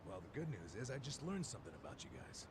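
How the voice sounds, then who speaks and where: An actor playing a gruff, wisecracking older detective type with a gravelly voice in a video game. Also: male voice, mid-range voice, very animated, quick pace, over a noisy crowd, close to an everyday voice. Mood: teasing. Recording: loud game music behind.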